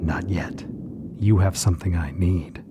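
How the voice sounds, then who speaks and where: A man's voice: sits low, steady tone, unhurried, highs cut off, noisy background. A man playing a grim, threatening intruder in an audio drama, speaking in terse lines. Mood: menacing. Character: menacing male intruder, grim, threatening, deliberate. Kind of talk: audio drama action-thriller acting.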